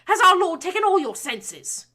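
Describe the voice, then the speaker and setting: A woman voicing a domineering, scornful noble mother who scolds her son in a shrill, loud tirade. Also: big pitch swings, moderate pace, full character voice. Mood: scolding. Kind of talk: audiobook reading of fan fiction.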